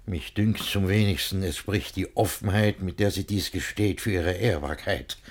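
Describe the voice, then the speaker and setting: An actor with speech playing a pompous, jealous older guardian in a rhymed verse radio play, husky and halting. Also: male voice, low voice. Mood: alarmed, stern. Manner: pompous, halting, swings from muttering to outbursts.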